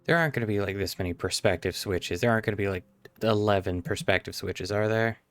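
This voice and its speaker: A man's voice: on the low side, fast talker. A man streaming a game, giving casual, blunt commentary with exasperated swearing. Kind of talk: live gaming commentary, casual.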